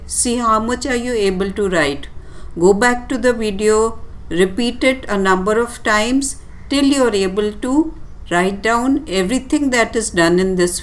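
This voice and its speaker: A woman teacher giving a step-by-step tutorial explanation in a deliberate, instructive way with short, pausing phrases. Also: mid-range voice. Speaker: physics teacher. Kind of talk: step-by-step tutorial explanation over handwritten working.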